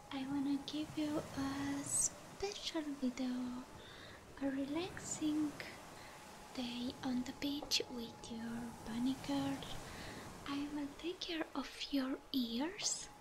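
A woman speaks in a high, breathy ASMR whisper, intimate and gentle. Her delivery is extremely slow and halting, close on the mic, with clearly audible ocean-wave ambience washing behind her. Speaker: ASMR creator, host. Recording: steady ambient noise over the voice. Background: loud ambient wave sounds.

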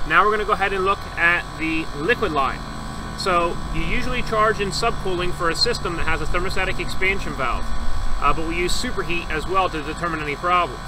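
A man's voice: sits high, background noise. A man working as an HVAC service technician, methodically explaining each reading step by step in a hands-on troubleshooting tutorial, recorded outdoors beside an AC condenser.

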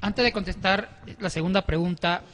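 A man's voice: high-pitched, moderate pace, a bit bright. A middle-aged man on a panel explaining religious ideas didactically, often repeating and rephrasing himself mid-sentence. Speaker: panel guest explaining doctrine. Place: wood-panelled indoor studio or meeting room. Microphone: handheld microphone. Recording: very echoey room.

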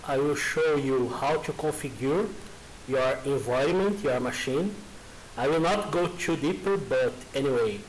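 Brazilian man with halting English. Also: medium pitch, slight background noise, rough recording. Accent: Brazilian Portuguese. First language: Portuguese. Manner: halting, informal, many uh fillers. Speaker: software developer. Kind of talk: screen-recorded coding tutorial.

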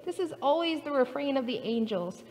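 A woman pastor telling a Bible story to preschool children in an animated, expressive way, recorded in a church sanctuary by a distant camera. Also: sits high, fairly quick, big-room sound. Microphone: far camera.

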